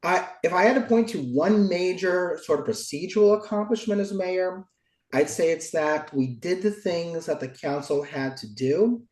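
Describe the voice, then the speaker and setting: Middle-aged American male politician, congested, slightly higher-pitched, answering interview questions in a roomy space. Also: lively intonation, normal speed, a little mellow. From Oakland, California, United States. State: stuffy from a cold, cough drop in mouth. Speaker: local politician, former mayor. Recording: roomy, echoing sound.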